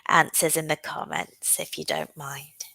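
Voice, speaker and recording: A woman's voice: sits low, level tone, gravelly, sharp tone, dry recording, rough recording. A woman teaching a live online exam-prep class, speaking with a cold and filling her sentences with "um" as she explains. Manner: frequent "um" fillers, unhurried and explanatory. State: has a cold. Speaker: OET teacher.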